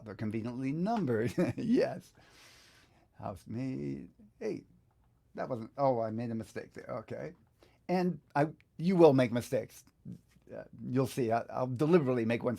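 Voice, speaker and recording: A middle-aged man with a relaxed, gravelly voice at a medium pitch teaches step by step. His delivery is informal and unhurried, as he talks himself through each task. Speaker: guitar teacher giving a software tutorial. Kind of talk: casual step-by-step tutorial with thinking aloud.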